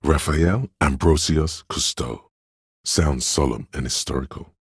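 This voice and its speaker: An actor playing the grandiose, artsy inner voice of a detective's imagination in a video game, narrating in a dramatic, florid, deliberate way. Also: male voice, no echo, studio quality, exaggerated voice. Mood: pompous, musing. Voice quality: gravelly, husky bass. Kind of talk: theatrical, literary game narration.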